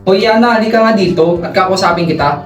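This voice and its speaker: A woman's voice: mid-range voice, fast talker, soft background music, close to an everyday voice. A blunt, worried young friend, acted by a woman in a radio drama. She sounds exasperated, recorded far from the mic in an echoey space. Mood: confronting. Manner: confronting, exasperated.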